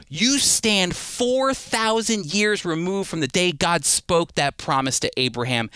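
A man's voice: sits high, bright, forceful tone, smooth, no room sound. A man preaching as a Bible teacher, emphatic, posing rhetorical questions and repeating phrases for weight. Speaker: Bible teacher and series host. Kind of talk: sermon, expository preaching.

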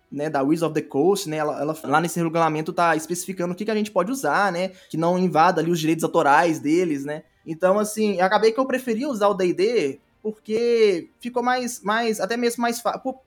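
A Brazilian man speaking as a podcast guest, explaining casually in a halting way, repeating words and often checking for agreement. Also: high-pitched. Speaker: podcast guest, audio drama creator.

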